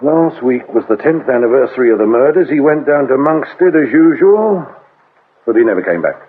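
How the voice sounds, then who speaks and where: An actor playing a brusque, sarcastic, middle-aged English detective inspector in a radio drama, grumbling and curt. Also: male voice, medium pitch, animated, normal speed, natural voice. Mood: terse. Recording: muffled lo-fi radio recording with hiss.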